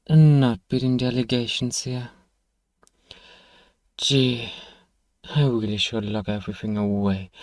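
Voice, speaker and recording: A man acts out an irritable fantasy apothecary in an ASMR roleplay, close and dry in sound. He speaks slowly at a middling pitch, with haughty sarcasm and weary condescension. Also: a little mellow, no echo, lightly acted. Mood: annoyed, sarcastic. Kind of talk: scripted ASMR roleplay monologue.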